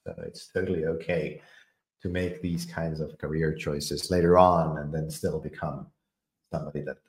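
Low-voiced man in an echoey room. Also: fairly even intonation, normal speed. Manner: hesitant, many fillers and repeated words. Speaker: podcast host. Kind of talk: casual technical discussion.

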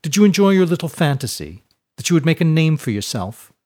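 A man's voice: mid-range voice, expressive, normal speed, a little mellow, natural voice. A man voicing a smug young aristocratic schoolboy who bullies with taunting contempt, in a storybook narration.

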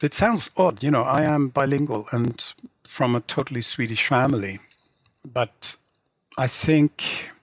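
A middle-aged man with an English accent talks in a husky, slightly rough voice. He is reflective and hedges often with "you know", as a writer being interviewed would. The sound is dry, with hardly any room in it. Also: medium pitch, unhurried, no room sound. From England. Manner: reflective, hedging, frequent 'you know'. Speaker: guest, writer and literary translator. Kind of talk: conversational interview.

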